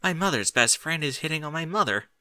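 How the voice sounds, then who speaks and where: A man voicing a gossipy, snarky young female pony in a story, in a hushed manner. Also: fairly high pitch, lively intonation, brisk, dry recording, studio quality, pitched above the performer's own voice. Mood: scandalized.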